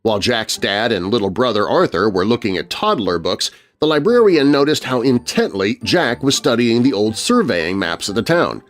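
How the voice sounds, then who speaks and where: Animated male spooky-story narrator. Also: medium pitch, moderate pace, few pauses. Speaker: narrator of children's horror podcast. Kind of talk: dramatic scripted story narration.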